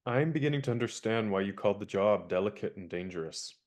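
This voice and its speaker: A man plays a keen young man in a radio play, game for whatever is put to him. His delivery is animated, with wide swings of pitch. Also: fast, natural voice. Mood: eager, wary.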